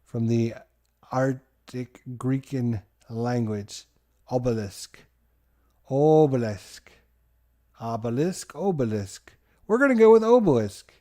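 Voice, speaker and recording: A man hosting a solo board game playthrough, giving excited play-by-play to his viewers like a sports announcer. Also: mid-range voice, slow, long pauses. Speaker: board game channel host.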